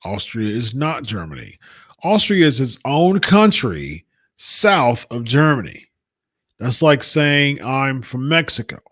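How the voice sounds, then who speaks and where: Emphatic man with a lively pitch. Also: slow pace, dry recording, clean studio sound. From United States. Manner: emphatic, repeats points, exasperated. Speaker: wrestling talk show host. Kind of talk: casual live-chat commentary.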